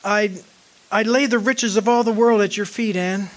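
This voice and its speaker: A man acting in a radio drama as a wistful, earnest man devoted to his lost young love, speaking in deliberate phrases. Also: high voice, fairly even intonation, lightly acted, rough recording.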